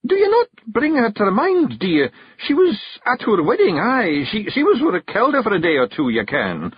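A pint-sized Scottish fairy chieftain, shrill and blustering, forever caught on the back foot, as a man puts him on. The voice leaps high and loud with a husky grain. The recording is dry and a touch dull. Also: huge pitch swings, moderate pace, dry recording, heavily acted. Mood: flustered. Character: small fierce excitable male fairy clan chief.

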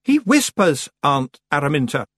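A man voicing a calm, stubborn, well-born boy with cool composure in an audiobook. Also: high-pitched, fairly quick, no echo, clean studio sound, pitched above the performer's own voice, heavily acted. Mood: calm defiance.

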